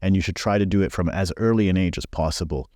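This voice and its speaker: A man's voice: low voice, fast talker, darkish tone, dry recording, clean studio sound. A man in his forties, born in Belgium, speaking frankly as a podcast guest with many fillers. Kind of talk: casual interview chat.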